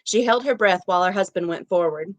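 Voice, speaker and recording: A woman reading a short story dramatically, with measured, deliberate narration. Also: medium pitch, dry recording. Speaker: reader at a literary event.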